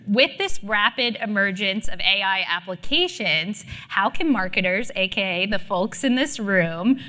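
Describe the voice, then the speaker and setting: A woman moderates a conference panel before a live audience, her voice bright and clear. She keeps an upbeat, brisk pace, dropping quick affirmations between speakers. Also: mid-range voice, expressive.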